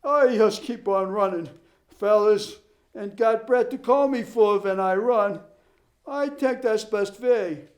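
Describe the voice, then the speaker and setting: A man voicing a gloomy, simple-hearted young immigrant runner who speaks slow, broken English. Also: high voice, voice pushed up.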